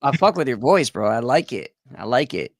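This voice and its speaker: A man's voice: medium pitch, big pitch swings, fairly quick, dry recording. Guy hosting a livestream, chill and chatty, laughs a lot, dry sound. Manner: laid-back, reads chat aloud, laughs often. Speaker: livestream host. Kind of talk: casual chat.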